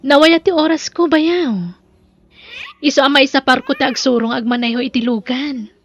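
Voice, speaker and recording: A woman's voice: high-pitched, normal speed, dull audio, a little hiss or hum. An actress with an Ilocano Filipino accent playing a flirtatious woman in love with her brother-in-law in an audio drama. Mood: eager, sweet.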